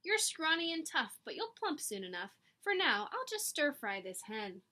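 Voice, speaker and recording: A woman's voice: high voice, very animated, normal speed, pushed and bright, full character voice. A woman voicing a sly female fox in a storybook, coaxing and taunting.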